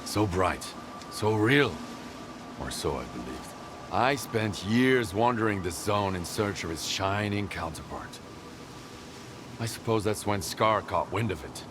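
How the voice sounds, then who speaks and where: An actor playing a bitter, secretive male loner in a video game cutscene, speaking in a low, grave and guarded way. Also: animated, unhurried, noisy background. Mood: grim.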